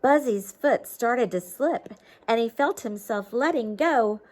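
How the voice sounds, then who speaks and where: A woman gives an expressive read-aloud for young children in a fairly high voice that rises and falls widely. She takes her time, stepping out of the story to coax listeners with simple questions. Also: slow pace. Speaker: teacher reading a picture book to children.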